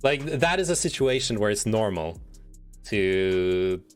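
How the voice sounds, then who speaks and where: Rambling, halting man chatting over music. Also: on the low side, lively intonation, smooth. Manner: rambling, halting with repeated restarts, sweary. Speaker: livestreamer. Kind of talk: casual chat, reading quiz statements and reacting.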